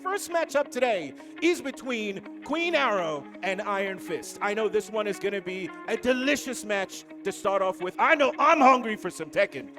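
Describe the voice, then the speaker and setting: A man's voice: sits high, animated, moderate pace. A man commentating live on a video game match. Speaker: esports commentator. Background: loud music.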